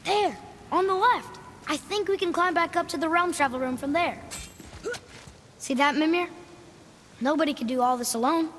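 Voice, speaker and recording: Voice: high-pitched, moderate pace, long pauses, a bit bright. A young performer playing an earnest, hopeful and curious boy in a video game, speaking with youthful sincerity. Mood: alert. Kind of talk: video game cinematic acting. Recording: long echoing hall, noisy background.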